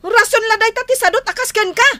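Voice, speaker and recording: An exasperated Ilocano wife in a radio comedy drama. Her voice is very high and piercing, and she nags emphatically about a lazy husband with an Ilocano accent. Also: brisk, pushed and bright. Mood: exasperated scolding. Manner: nagging, emphatic, exclaiming.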